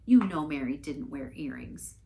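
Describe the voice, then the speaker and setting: A woman's voice: low voice, lively intonation, moderate pace, vocal fry, mellow. A woman voicing an earnest, harried mother in a storybook, instructing children insistently. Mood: exasperated, insistent.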